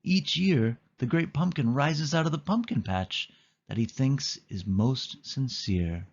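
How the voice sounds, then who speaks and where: A man voicing an earnest, trusting and excitable young boy in a children's storybook. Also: mid-range voice, a little slow, muffled recording.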